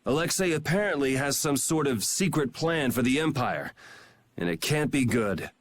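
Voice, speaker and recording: A man's voice: medium pitch, animated, moderate pace, slight background noise, lightly acted. An actor voicing a laid-back, wry young swordsman hero in a video game, firm when it counts. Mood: resolute. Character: cool young male swordsman hero, sardonic. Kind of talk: game voice acting. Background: game music.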